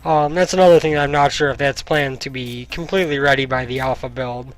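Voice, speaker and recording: A young man commenting casually while playing a video game, thinking aloud with many ums, his voice getting slightly hoarse. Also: medium pitch, fairly even intonation, fast, low-quality recording. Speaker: gaming YouTuber. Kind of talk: casual let's-play commentary. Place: home room, indoors. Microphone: headset mic. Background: faint game music.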